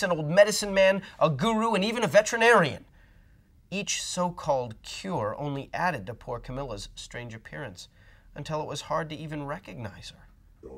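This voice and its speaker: A middle-aged man reading a children's storybook aloud, animated and dramatic. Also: fairly high pitch. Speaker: storybook reader. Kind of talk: expressive storybook read-aloud.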